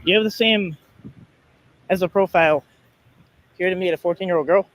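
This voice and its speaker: A man in an on-the-street confrontation, speaking insistently and repetitively, with some halting starts. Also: sits high, fairly bright tone, rough recording. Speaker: citizen investigator confronting a stranger. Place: outdoors in a public place. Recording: outdoor recording with faint ambient noise.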